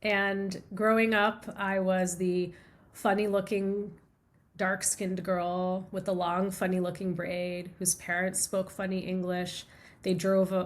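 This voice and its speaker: A middle-aged woman from the United States, a guest author on an interview podcast, telling personal stories in an earnest, reflective way. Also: fairly low pitch. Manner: earnest, reflective storytelling with repeated phrases. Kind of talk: conversational interview.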